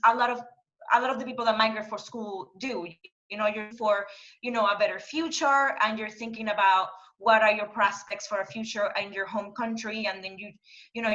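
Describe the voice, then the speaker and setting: A woman in her thirties from Panama, speaking in a thoughtful, halting way with many fillers as a guest on a panel conversation. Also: mid-range voice, sharp tone, highs cut off. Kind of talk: reflective conversation.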